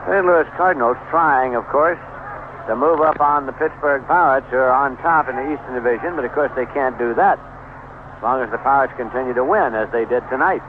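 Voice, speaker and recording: A man's voice: medium pitch, a little fast. Tinny, noisy vintage radio baseball announcer, male. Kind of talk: live radio sports commentary.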